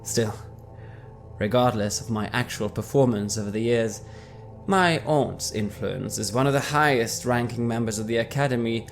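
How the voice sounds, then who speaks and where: A man's voice: fairly low pitch, vocal fry. Man reading a character backstory. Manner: measured, storytelling cadence. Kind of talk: dramatic first-person reading. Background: faint background music.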